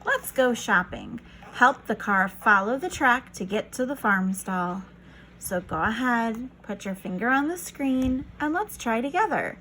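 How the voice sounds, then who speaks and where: Female children's read-aloud teacher: unhurried, lively pitch swings, encouraging and instructional, slight noise behind. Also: low-quality recording. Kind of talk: reading a picture book aloud to young children.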